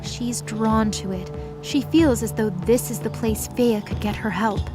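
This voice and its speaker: A woman's voice: on the high side, a little slow, music in the background. A woman narrating a scripted audio drama in the present tense as its storyteller.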